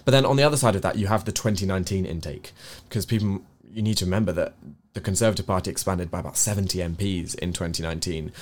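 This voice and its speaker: A man with an English accent speaks in a low register. He talks as a co-host on a news review, setting out his views earnestly. His delivery is conversational and explanatory, with careful hedging. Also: fast, few pauses. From England. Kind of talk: conversational political discussion.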